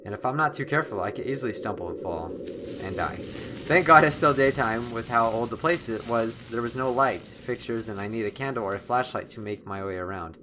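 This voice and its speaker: A man's voice: medium pitch, fairly quick. Man doing let's-play commentary over noisy background. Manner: expressive, dramatic reading with reactive asides. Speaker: gamer, let's-play host. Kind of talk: let's-play commentary, reading game text aloud.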